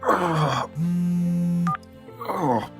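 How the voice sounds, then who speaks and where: A man's voice: fairly high pitch, animated, drawn-out words, over music. A man playing a stammering, flustered Brit. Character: nervous young man from the distant past, awkward and flustered.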